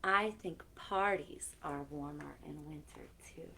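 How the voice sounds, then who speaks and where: A woman's voice: deep voice, unhurried, creaky, pushed and bright. A woman hosting a children's library story time, reading picture books aloud expressively with chatty asides, on a noisy live-stream recording.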